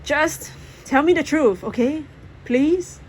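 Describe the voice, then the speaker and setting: An earnest teenage girl as a woman reader voices her in a storybook. She is worried and emphatic, at times hesitant. The recording has clear noise behind it. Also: sits high, takes it slowly, voice pushed up. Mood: pleading. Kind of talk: acted audiobook dialogue.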